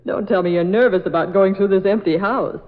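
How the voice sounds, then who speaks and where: An actress playing a brisk, sensible, plucky newspaperwoman with a no-nonsense manner in a radio drama. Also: medium pitch, natural voice. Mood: confident. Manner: no-nonsense, quick retorts. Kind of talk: old-time radio drama acting. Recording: tinny old radio recording, crowd noise.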